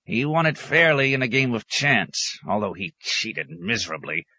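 A man's voice: mid-range voice, sing-song, normal speed, pushed and bright, husky, voice pushed up, exaggerated voice. A man voicing a cranky, ancient desert hermit in an audiobook, grumbling and indignant, with crusty, archaic scriptural turns of phrase. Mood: cross, grumbling.

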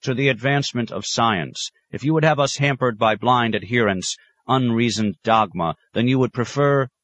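A man's voice: mid-range voice, normal speed, fairly bright tone, dry recording, voice pushed up, close to an everyday voice. A man voicing a learned male scholar who argues stiffly and insistently, reading an audiobook. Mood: defiant. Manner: earnest, insistent lecturing.

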